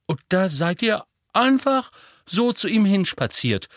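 A man voicing a clever, impatient teenage girl witch with a brisk, know-it-all manner. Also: high voice, sing-song, a bit bright, no room sound, clean studio sound, pitched above the performer's own voice, full character voice. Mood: breathless. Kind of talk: audiobook character voice.

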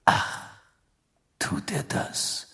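A brooding man in an audiobook, acted by a male narrator, grave and probing. He speaks slowly and quietly, with a breathy, gravelly huskiness. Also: lively intonation, in a large hall, heavily acted. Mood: suspicious. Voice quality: hushed, breathy, low. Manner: slow, probing questioning.

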